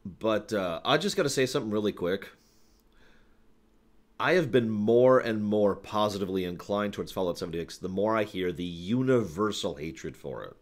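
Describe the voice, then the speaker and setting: Animated low-voiced man hosting a livestream. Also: normal speed. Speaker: live stream host. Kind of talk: casual livestream talk to chat, answering viewer questions. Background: background music.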